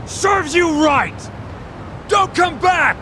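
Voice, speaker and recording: An actor playing an excitable, indignant townsman with a declamatory, fervent delivery in an English game dub. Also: very high voice, drawn-out words, a little hiss or hum, heavily acted.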